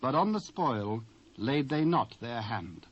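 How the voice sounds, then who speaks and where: A British man narrating scripture in a formal, measured, dramatised style. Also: mid-range voice, big pitch swings, breathy edge. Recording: dull lo-fi cassette transfer.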